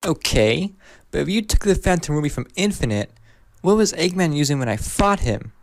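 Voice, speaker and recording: Man voicing a slightly high-pitched young hero. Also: sing-song, moderate pace. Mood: determined, puzzled. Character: young heroic male hedgehog, determined and impatient. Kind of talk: video game cutscene dialogue.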